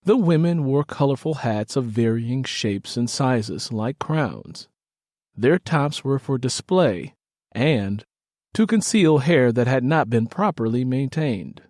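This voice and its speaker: A man's voice: medium pitch, animated, slow, darkish tone, dry recording, clean studio sound. A man reading a scripted story as an audiobook narrator, in a dramatic storytelling style.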